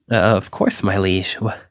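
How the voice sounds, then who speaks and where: A man's voice: lowish voice, dry recording, clean studio sound. A man acting a shy, flustered, self-doubting young knight in an ASMR roleplay, stammering and hesitant as he apologizes. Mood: bashful.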